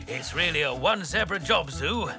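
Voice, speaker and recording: A man's voice: a little high, expressive, a little slow, vocal fry, music in the background. An actor playing a jolly, nostalgic old grandfather zebra with an easygoing storyteller's manner in a children's cartoon. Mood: cheerful. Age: older.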